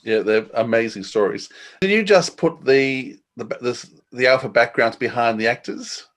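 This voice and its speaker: Medium-pitched man, encouraging podcast host. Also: moderate pace. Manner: appreciative, encouraging. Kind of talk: casual interview.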